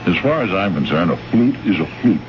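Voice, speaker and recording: Brusque, low-voiced space negotiator. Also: male voice, big pitch swings, a little fast, over loud music. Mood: irritated, dismissive. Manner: blunt, hesitant interjections, sardonic. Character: brusque, impatient, dismissive male space trade negotiator. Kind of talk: vintage radio drama acting. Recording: noisy, dull old radio broadcast recording.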